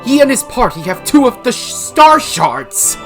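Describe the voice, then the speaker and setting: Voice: medium pitch, big pitch swings, a little slow, soft background music. A performer playing a nervous amphibian minion in an audio drama, stammering and pleading. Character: cowering, nervous amphibian servant spy.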